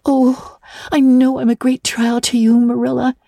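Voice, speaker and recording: A woman voicing an earnest, talkative, excitable young orphan girl in a storybook reading, with gushing, dramatic delivery. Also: sits high, a little slow, gravelly, no room sound, studio quality, pitched above the performer's own voice, full character voice. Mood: repentant, earnest. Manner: gushing, dramatic, breathless emphasis.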